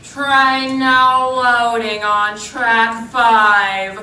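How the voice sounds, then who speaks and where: A woman's voice: fairly high pitch, flat delivery, drawn-out words, bright, forceful tone, exaggerated voice. A woman playing a sing-song railroad announcer in a comic old-time radio show. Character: drawn-out railroad station train announcer. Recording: roomy, noisy, distant stage recording.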